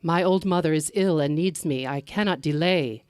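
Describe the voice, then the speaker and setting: A woman's voice: low voice, moderate pace, a little mellow, no room sound, clean studio sound, close to an everyday voice. A woman playing an earnest, determined and anxious male traveler in a folktale, speaking emphatically.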